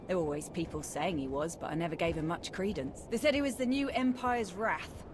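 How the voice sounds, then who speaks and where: An actress playing a loyal, easygoing army major in a video game, with casual phrasing and dry humour. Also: female voice, fairly low pitch, fairly quick, background noise, lightly acted. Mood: casual, wry.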